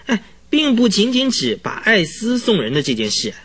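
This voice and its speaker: An actor playing an agitated, talkative male client who recounts eerie events in a halting, rambling way in a radio drama, with a husky voice. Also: high-pitched, wildly swooping pitch, fairly bright tone, heavily acted. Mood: eager, uneasy. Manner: rambling, halting, full of interjections. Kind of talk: Chinese radio drama acting.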